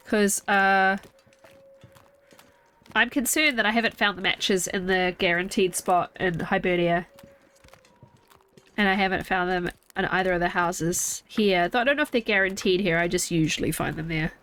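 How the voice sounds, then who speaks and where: A woman's voice: lowish voice, steady tone, moderate pace, fairly bright tone. A young woman streamer with a congested, stuffy nose, chatting casually and thinking aloud as she plays a game from home. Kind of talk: casual live gameplay chat.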